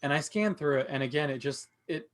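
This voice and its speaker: A man on a podcast panel, talking casually and a little haltingly as he thinks aloud. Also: a little high, lively intonation. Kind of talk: casual group discussion.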